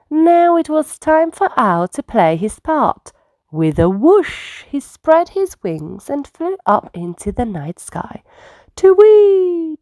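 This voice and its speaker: A woman reading bedtime stories aloud to young children in an expressive, unhurried storytelling manner. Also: a little high, no echo.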